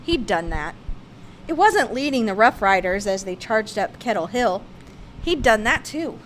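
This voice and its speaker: A woman reading a children's picture book aloud, with expressive, emphatic phrasing. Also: mid-range voice, a little slow, a little hiss or hum. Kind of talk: read-aloud children's storybook narration.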